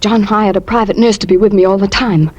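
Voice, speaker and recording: Actress as bitter, scheming 1950s radio wife. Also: female voice, medium pitch, fairly even intonation, brisk, a little mellow, dull audio, natural voice. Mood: cold, insistent. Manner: dramatic, intense first-person narration and clipped dialogue. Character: bitter, scheming wronged wife plotting murder. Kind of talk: 1950s radio drama acting. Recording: old radio recording with music behind.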